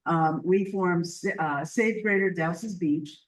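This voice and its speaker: Loud-voiced woman addressing a meeting. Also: on the low side, takes it slowly, recorded from a distance. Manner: loud voice, earnest and chatty with asides. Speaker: community group leader. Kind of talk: public meeting presentation. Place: library meeting room. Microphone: handheld or stand microphone.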